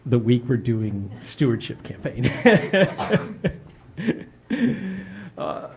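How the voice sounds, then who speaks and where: A man preaching a stewardship sermon as pastor, measured and plain-spoken, working through numbers aloud. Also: medium pitch, sing-song, creaky, noisy background. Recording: music bed underneath.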